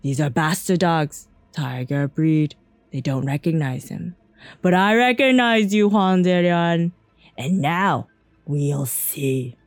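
Storybook villain: a woman plays a furious, commanding male animal tamer, hoarse, hard-shouting, slow and menacing. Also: deep voice, dry recording, voice pushed down. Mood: scornful, commanding.

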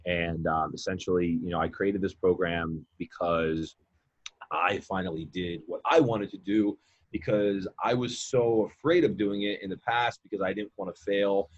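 A man's voice: sits low, fairly even intonation, moderate pace, lo-fi sound. A man in his late twenties, a mindset coach and guest, talking casually in a rambling way with many fillers and repeated words. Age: 20s. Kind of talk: casual podcast conversation.

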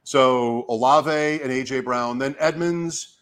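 A man, hoarse from talking, hosting a casual fantasy sports show and commentating in short, fragmented phrases as he thinks out loud. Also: medium pitch, slow. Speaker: fantasy football show host giving draft advice. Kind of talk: casual talk, live draft commentary.

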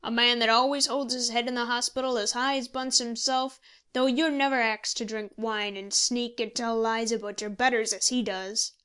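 Woman acting bullying old man, rustic, forceful, impatient, raised pitch, storybook agitator. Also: fairly even intonation, normal speed, clear, resonant tone. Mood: coaxing. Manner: hectoring, forceful, rustic ungrammatical speech.

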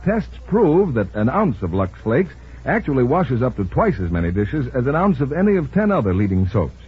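A man's voice: medium pitch, very animated, normal speed, slightly rough. A man working as a commercial announcer, reading a scripted 1940s radio advertisement. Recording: narrow, tinny 1940s radio recording.

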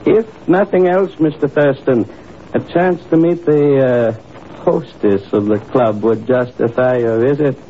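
An actor playing a courteous, measured police inspector in a 1950s radio drama, formal and slightly hesitant. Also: male voice, medium pitch, close to an everyday voice. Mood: courteous, discreet. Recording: muffled, noisy old radio broadcast recording.

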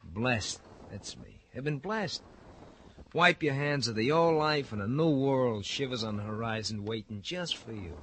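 Slick, swooping American radio hoodlum. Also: male voice, medium pitch, lively intonation, a little slow, a bit bright. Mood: smug, gloating. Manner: florid, theatrical, drawling repetitions, needling chuckles. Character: slick, mocking hoodlum turned respectable businessman, smugly false-friendly. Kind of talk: old American radio crime drama acting. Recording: noisy vintage radio broadcast.

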